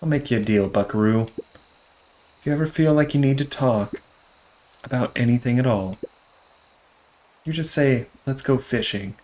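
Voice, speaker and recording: An actor playing a gentle, folksy father in a video game, speaking reassuringly to his young son. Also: medium pitch, unhurried, pauses often, close to an everyday voice, low-quality recording. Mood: warm, reassuring.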